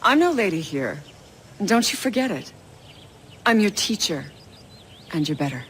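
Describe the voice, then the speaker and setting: A woman's voice: mid-range voice, sing-song, moderate pace, a bit bright, a little hiss or hum. An actress playing a stern, disdainful female assassin instructor with a dry, cutting manner in a video game. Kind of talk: video game cutscene acting.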